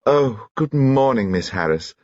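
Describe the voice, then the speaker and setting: A man's voice: medium pitch, expressive, measured pace, a little mellow, dry recording, lo-fi sound, voice pushed up, lightly acted. A man voicing a chattering, effusive society hostess in her late thirties. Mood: gushing.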